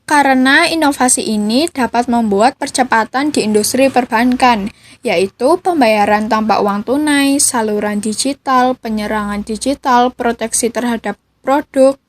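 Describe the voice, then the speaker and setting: A woman's voice: a little high, a little slow. A teenage young woman with an Indonesian accent, giving a scripted explanation as a student podcast co-host. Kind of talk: scripted explainer read aloud.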